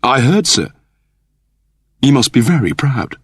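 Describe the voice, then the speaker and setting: A man's voice: mid-range voice, sing-song, a little slow, husky, no room sound, pitched above the performer's own voice. A man voicing a polite, anxious robot servant, deferential and formal, in an audiobook story. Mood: earnest. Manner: deferential, formal, precise wording.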